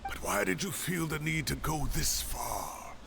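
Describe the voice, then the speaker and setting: An actor playing a stubborn, proud old scholar in a video game, weary and wistful, with a worn, breathy voice. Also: male voice, fairly high pitch, animated, measured pace, noisy background. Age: older.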